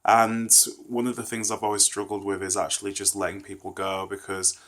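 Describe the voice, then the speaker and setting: A man in his thirties sits with a camera and talks his thoughts through in a thoughtful, meandering way. His voice sits deep and stays level, with little rise or fall. A cold leaves him sounding congested and nasal. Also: completely flat delivery, fairly quick, a bit bright. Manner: thoughtful, rambling, earnest. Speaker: vlogger. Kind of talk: casual reflective rant to camera.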